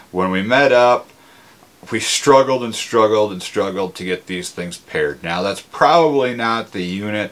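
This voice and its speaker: A man gives a casual, conversational, plain-spoken product review. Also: on the low side. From Minnesota, United States. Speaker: motorcycle gear reviewer.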